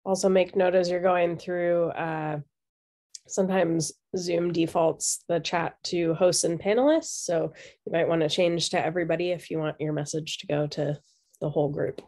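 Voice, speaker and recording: A woman's voice: on the low side, steady tone, moderate pace, darkish tone. A woman hosting a webinar over a video call who is losing her voice, running through housekeeping with many ums. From Seattle. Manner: casual, many filler ums. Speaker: webinar host. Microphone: video call microphone.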